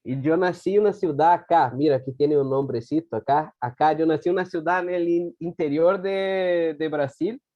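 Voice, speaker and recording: A man in his thirties from Brazil speaks Spanish over a video call with a Brazilian Portuguese accent. His voice sits a bit high and comes through dull and dry, with halting, self-correcting phrasing. He mixes in Portuguese words as he goes. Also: warm tone, no room sound. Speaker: guest speaker.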